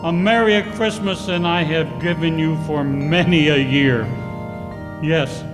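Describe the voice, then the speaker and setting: An actor playing an old, reformed miser turned generous employer in a theatrical radio play, with drawn-out delivery. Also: male voice, on the high side, close to an everyday voice. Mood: jubilant. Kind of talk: 1940s radio play acting. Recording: echoey hall, music underneath.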